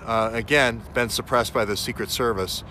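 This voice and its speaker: Bright-voiced man vlogging outdoors over street noise. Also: medium pitch, fairly even intonation. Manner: halting, emphatic, repeats phrases for stress. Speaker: vlogger, self-styled investigator. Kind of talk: unscripted outdoor vlog monologue, speculative commentary. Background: faint urban ambience and vehicles.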